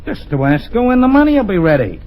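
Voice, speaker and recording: A commanding gang boss barks orders in an old radio crime drama. His speech rises and falls with lively pitch, sitting a little high for a man. The old recording sounds dull. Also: quick pace. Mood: menacing. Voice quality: curt, commanding. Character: ruthless male kidnapper gang boss. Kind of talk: 1940s radio acting.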